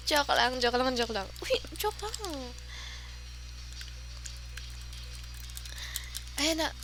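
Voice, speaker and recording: Casting: woman as teasing, affectionate gamer girlfriend, Filipino accent, slow delivery, ASMR roleplay with noticeable background noise. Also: sits high, sing-song, bright, forceful tone, exaggerated voice. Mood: playful mocking. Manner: teasing, giggly, sighing, mixes English into Tagalog.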